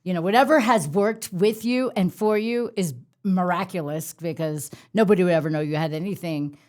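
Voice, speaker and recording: A woman's voice: lowish voice, moderate pace, darkish tone. A woman hosting a podcast in a chatty, playful and easygoing way. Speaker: podcast host. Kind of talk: casual chat.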